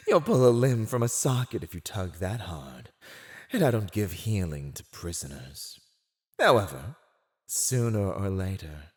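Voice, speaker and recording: An actor playing a silver-tongued, condescending fantasy villain who teases and mocks a prisoner in a scripted ASMR roleplay monologue. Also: male voice, on the low side, exaggerated voice. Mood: taunting, cold. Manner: theatrical, condescending, teasing.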